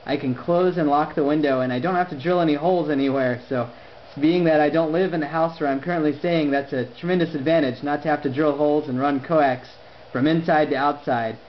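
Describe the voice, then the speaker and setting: A man making conversation as an amateur radio operator, phrasing things deliberately and articulately. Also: a little high. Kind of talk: conversational ham radio exchange. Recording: dull lo-fi sound, faint noise.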